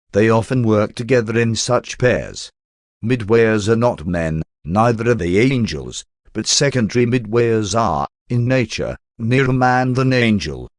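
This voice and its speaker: A man narrating a scripted text in a measured, formal way, like an audiobook reader. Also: lowish voice, no room sound, studio quality.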